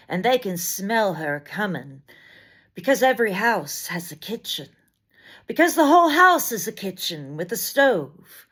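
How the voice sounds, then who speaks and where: A woman reading poetry aloud as dramatic spoken word, in an intense, deliberate delivery of short, weighted phrases. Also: a little high. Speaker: poet reading poems aloud.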